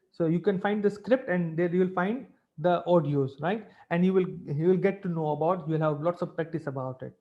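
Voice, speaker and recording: A man's voice: high-pitched, darkish tone. A man with an Indian accent giving an informal webinar lecture as a teacher, in a didactic way, often checking in with short tag questions. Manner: didactic, repeatedly checking with "right?" and "fine". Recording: dull lo-fi webinar audio.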